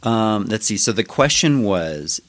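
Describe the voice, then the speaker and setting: A man's voice: fairly low pitch, animated, unhurried, a bit bright, no echo. A man in his thirties, a musician guest on a podcast, talking thoughtfully and hesitantly. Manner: thoughtful, hesitant, many fillers and restarts. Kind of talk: casual interview chat.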